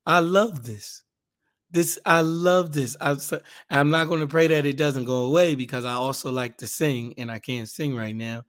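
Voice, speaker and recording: A man with a hoarse, raspy voice, hosting a casual Bible podcast and leading a fervent spoken prayer. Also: on the high side, normal speed, fairly bright tone. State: hoarse, raspier than usual.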